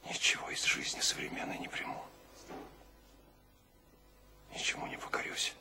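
An actor playing a despairing, brooding poet weary of life, speaking heavily in an anguished, sometimes hushed dramatic audio play delivery. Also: male voice, noisy background, natural voice. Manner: slow, heavy, anguished delivery with hushed passages. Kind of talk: dramatic audio play monologue and verse recitation.

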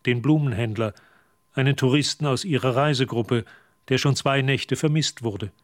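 An actor narrating a dark radio drama as a sober, measured, matter-of-fact storyteller. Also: male voice, mid-range voice, no room sound, studio quality, natural voice. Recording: music under the voice. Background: music.

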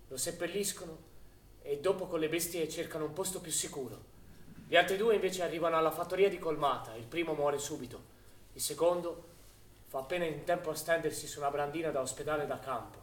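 Stage chronicle: male actor as sober wartime narrator, slightly high voice, measured and clipped, roomy echo. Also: a little hiss or hum, natural voice. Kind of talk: scripted dramatic chronicle narration. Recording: echoey theatre-like room.

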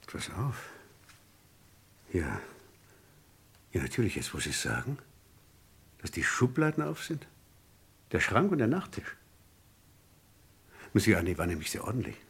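An actor playing an eager, slightly flustered neighbour giving witness answers in a vintage radio crime drama, with a husky voice. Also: male voice, deep voice, wildly swooping pitch, moderate pace, long pauses, fairly bright tone, heavily acted. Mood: flustered, helpful.

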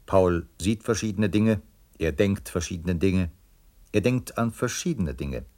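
An actor playing a detached, declarative narrator in an experimental radio play. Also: male voice, low-pitched, animated, measured pace, a little mellow. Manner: declarative, matter-of-fact. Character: detached male narrator announcing fragments about a trucker.

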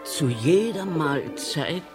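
An actress playing a credulous, devout peasant servant, awed and eager, in a radio drama. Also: female voice, deep voice, lively intonation, slow pace, loud music behind the voice. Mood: awestruck, humble.

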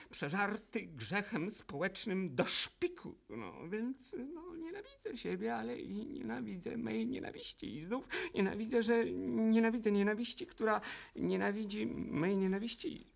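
Husky, stammering, self-loathing professor. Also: male voice, high-pitched, a bit bright, heavily acted. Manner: stammering, repetitive, agitated. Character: tormented, self-loathing intellectual professor, nervously retching revolutionary. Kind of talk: theatrical radio drama acting.

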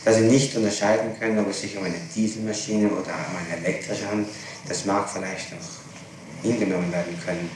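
A man's voice: on the low side, level tone, a little fast. A man questioning formally and methodically as a prosecutor in court, pressing insistently for details. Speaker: public prosecutor. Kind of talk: courtroom interrogation. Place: large echoing room, distant mic. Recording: very echoey, noisy archival tape, far off.

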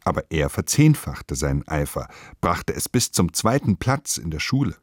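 A man narrating a literary radio play with measured, deliberate phrasing. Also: rumbling low, sing-song, husky, dry recording, studio quality.

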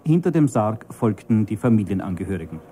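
A man's voice: fairly low pitch, big pitch swings, a little fast, dark tone, breathy edge. A man speaking as a solemn news correspondent, giving a formal report on state events.

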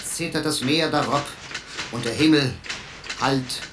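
Ein Mann spielt in einem Hörspiel einen dichtenden Computer, der gemessen und pathetisch Verse deklamiert. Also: male voice, medium pitch, background noise, natural voice. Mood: solemn, bombastic. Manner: declamatory, measured verse delivery. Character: versifying computer, a male machine reciting bombastic, amorous poetry. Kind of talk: declaimed verse in radio drama.

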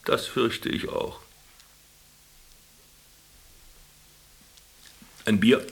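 A man's voice: measured pace, close to an everyday voice. An actor playing a sardonic former businessman in a radio play, speaking with dry irony and a theatrical, lecturing manner. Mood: wry. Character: sardonic former businessman stranded in a camp, teasing his companion. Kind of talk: German radio play dialogue.

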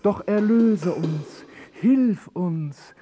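An earnest, bitter supplicant in an experimental radio play. He pleads solemnly and slowly. His voice is high yet mellow. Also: lively intonation, a little hiss or hum, heavily acted. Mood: imploring. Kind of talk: avant-garde radio play acting.

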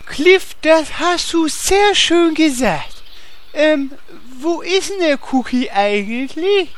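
A woman playing a sweet, slow-talking companion in a scripted comedy audio drama. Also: sits high, animated, a little hiss or hum.

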